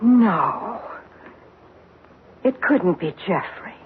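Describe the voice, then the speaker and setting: A woman's voice: mid-range voice, lively intonation, a little hiss or hum. A distressed policeman's wife, tinny old radio. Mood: shocked, disbelieving. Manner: earnest, halting when distressed. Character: anxious, loyal policeman's wife in shock, disbelieving. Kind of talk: 1970s radio drama acting. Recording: muffled phone-like old radio broadcast.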